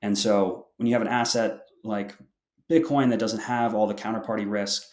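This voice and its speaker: Deep-voiced young man in a roomy space. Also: fairly even intonation, normal speed, a little mellow. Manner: explanatory, with frequent restarts. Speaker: podcast guest. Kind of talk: interview answers.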